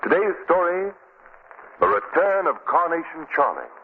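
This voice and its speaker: A narrow and clearly noisy 1940s radio recording holds a composed, formal drama voice. His pitch moves in wide, theatrical swings. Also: very animated, moderate pace. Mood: dramatic.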